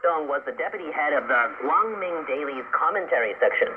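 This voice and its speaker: A male newsreader delivers a scripted bulletin in a slightly high voice. The sound is narrow and tinny, like a phone line, from a shortwave radio broadcast. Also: expressive, brisk, noisy background. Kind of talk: scripted news bulletin reading.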